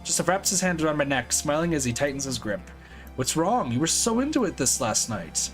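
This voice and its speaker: A man's voice: a little high, big pitch swings, fairly quick, soft background music. A man voicing a smug, manipulative male villain who taunts with theatrical mockery. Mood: taunting. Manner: mocking and theatrical.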